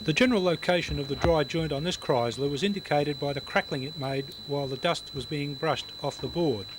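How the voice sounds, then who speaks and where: A man's voice: fairly high pitch, a little fast, background noise. A man reading scripted instructional narration for a technical training film in a precise, matter-of-fact way. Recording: music behind voice, VHS tape transfer.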